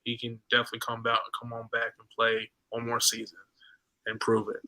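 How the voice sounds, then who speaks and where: Bright, hyped man. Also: medium pitch, fairly quick, dry recording, rough recording. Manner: animated, hyped, joking. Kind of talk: casual sports chat.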